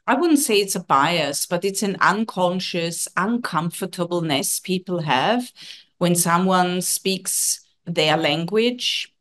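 A woman in her forties, not a native English speaker, speaking earnestly as a communication trainer on a video call, often checking in with "yeah?". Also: fairly low pitch. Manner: earnest, coaching tone, frequent "yeah?" tags. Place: home office.

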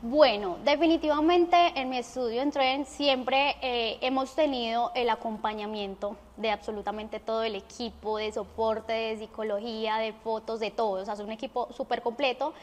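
Young colombian woman chatting in an interview, highish voice, echoey studio. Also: steady tone, moderate pace, hardly any pauses. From Armenia, Colombia. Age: young adult. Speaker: interview guest. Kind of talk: conversational interview answers. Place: indoor studio set. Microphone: lapel mic. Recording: reverberant studio room.

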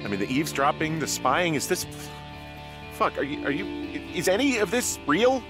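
An actor playing an anxious, unsure fire lookout in a video game, talking in a natural, hesitant way. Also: male voice, on the high side, big pitch swings, moderate pace. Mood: panicked, doubting. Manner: hesitant, conversational. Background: game music.

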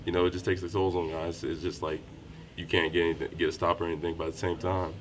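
A young college athlete with a very low voice speaks in an even, flat tone. He answers reporters calmly and steadily, with a lot of "you know" and "um". A clear hum of background noise runs under his voice. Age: young adult. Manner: low-key, measured, with frequent fillers. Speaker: college basketball player. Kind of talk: postgame press interview. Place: small indoor room by a wall. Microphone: handheld reporter mics held close.

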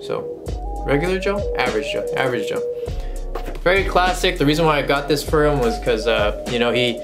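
A man in his twenties talks casually to his viewers like a laid-back review host. His voice sits at a medium pitch and his wording is slangy and informal. Guitar music plays clearly behind him as he records in a room at home. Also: expressive, brisk. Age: 20s. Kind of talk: casual product review vlog to camera. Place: small furnished room at home, indoors. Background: background music with guitar.

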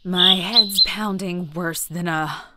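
A woman playing a big, gruff, hungover cat-beast warrior in an audio drama, blunt and grumbling. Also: on the low side, steady tone, takes it slowly, very noisy. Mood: groaning, irritable.

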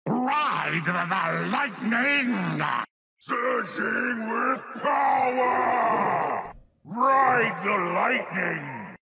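Wild, gravelly storm shaman. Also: extremely high pitch, expressive, echoey room, heavy background noise, heavily acted. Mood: wild exhilaration. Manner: bellowing, drawn-out shouts. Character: fierce storm-calling shaman warrior, wild and boisterous. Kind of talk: video game battle cries.

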